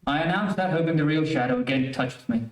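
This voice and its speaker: Earnest explanatory doctor man acting for radio mystery: slightly high voice, roomy echo. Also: fast talker, lightly acted. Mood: insistent. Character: earnest, reasoning male doctor, a former escaped convict. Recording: roomy, distant amateur stream audio.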